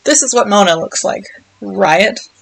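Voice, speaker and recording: A woman's voice: medium pitch, lively intonation, unhurried, gravelly. A woman chatting casually as she streams a game, rambling and thinking aloud. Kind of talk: casual livestream chat while playing.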